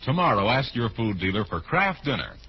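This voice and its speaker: A man's voice: medium pitch, sing-song, fairly quick, husky. A man announcing a scripted advertisement in 1940s radio style. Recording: muffled, hissy old radio recording.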